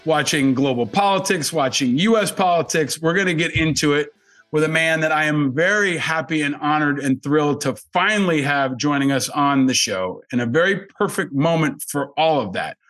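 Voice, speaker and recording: A man's voice: fairly high pitch, normal speed, a bit bright, faint music behind. A man hosting a podcast interview in an informal, effusive way, with casual language. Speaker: podcast host.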